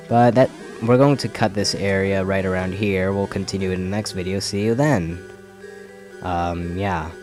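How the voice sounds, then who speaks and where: Low-voiced young man rambling over gameplay. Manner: rambling, self-correcting, wry. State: has a head cold. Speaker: let's play commentator. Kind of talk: casual gameplay commentary. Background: faint game music.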